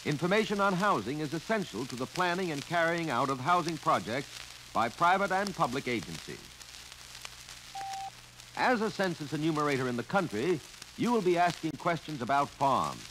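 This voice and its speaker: A man's voice: a little high, normal speed. Crackly mid-century American male film narrator. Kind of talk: 1950 instructional film narration. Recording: noisy 1950 filmstrip soundtrack, steady crackle.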